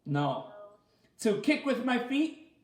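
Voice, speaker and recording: A man leading a children's story time as a teacher, reading aloud and asking the listeners simple questions in an animated way. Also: very high-pitched, takes it slowly. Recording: roomy, echoey sound.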